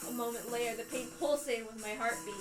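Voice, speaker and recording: High-voiced woman reading a tense story. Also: steady tone, fast talker. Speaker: reader on a stream. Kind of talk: dramatic first-person narration of a visual novel. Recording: roomy, distant mic, music behind.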